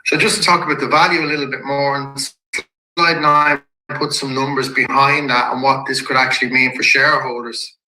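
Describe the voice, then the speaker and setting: A man with a slightly croaky voice from a head cold, a company executive calmly walking shareholders through an investor presentation slide by slide. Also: medium pitch, a little hiss or hum. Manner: measured and businesslike, with occasional restarts. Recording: echoey room.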